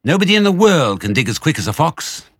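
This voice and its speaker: A man's voice: on the high side, sing-song, fast talker, dry recording, studio quality, voice pushed up. A man voicing a crafty father fox in a storybook, exclaiming and giving orders in urgent, heroic bursts. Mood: excited, urgent.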